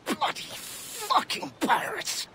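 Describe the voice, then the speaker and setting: An actor voicing a haughty, desperate stranded gentleman in a video game, gravelly and halting, full of disdain. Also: extremely high pitch, big pitch swings, very noisy, full character voice. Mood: furious.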